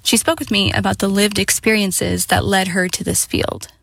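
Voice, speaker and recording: Raspy-edged female radio correspondent. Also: medium pitch, fairly even intonation, normal speed, a bit bright, dry recording. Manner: clear, reading script. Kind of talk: scripted radio narration.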